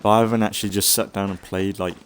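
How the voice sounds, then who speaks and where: A man giving casual, rambling commentary as a let's play host, thinking aloud in a halting way and sounding slightly congested. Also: lowish voice, level tone, slight background noise. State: slightly congested from an oncoming cold.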